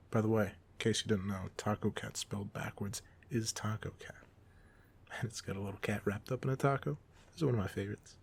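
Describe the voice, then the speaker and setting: A man playing a goofy, soft-hearted supervillain off duty in an audio roleplay, talking casually with teasing, self-deprecating humor. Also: lowish voice, creaky, breathy edge. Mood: playful, proud. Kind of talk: ASMR roleplay monologue, casual conversational acting.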